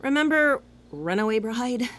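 A woman's voice: fairly high pitch, expressive, measured pace, fairly bright tone. An actress playing a hopeful, teasing young woman in a comedic audio drama, talking in a casual, emphatic way. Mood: amused, defensive.

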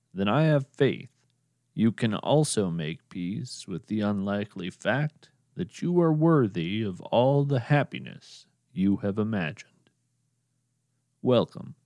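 Man, podcast narrator, earnest, measured, speaks the script to the listener, unhurried, dry studio sound. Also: on the low side, a little mellow, no room sound.

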